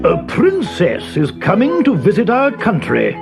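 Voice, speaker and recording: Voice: medium pitch, huge pitch swings, a little slow, gravelly, loud music behind the voice, pitched above the performer's own voice, exaggerated voice. A performer voicing a male lion, the leader of the animals, firm and emphatic with a growl. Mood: commanding.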